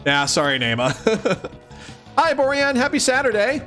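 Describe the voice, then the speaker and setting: A man's voice: very high voice, big pitch swings, measured pace, fairly bright tone, faint music behind. A man doing a live read-aloud, switching between theatrical mock-solemn reading and casual chatty asides. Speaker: streamer reading a webcomic aloud for the first time.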